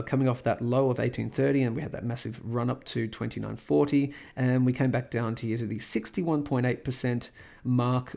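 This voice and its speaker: A man with a croaky voice from a cold, talking casually as the host of a market commentary and chart walkthrough. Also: mid-range voice, a little mellow. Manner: rambling, lots of fillers and restarts. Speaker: host of a crypto price analysis channel.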